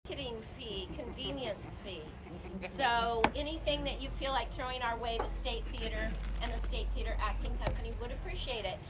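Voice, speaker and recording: High-voiced woman hosting, noisy live recording. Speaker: host introducing a theater company's show. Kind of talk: informal live stage welcome speech. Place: live before an audience at a theater.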